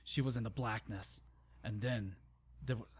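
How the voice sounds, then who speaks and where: A man's voice: fairly low pitch, fairly even intonation, moderate pace, husky. A man narrating an amateur dramatic story reading, informal and stumbling, with exasperated, sarcastic asides. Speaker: narrator and channel host.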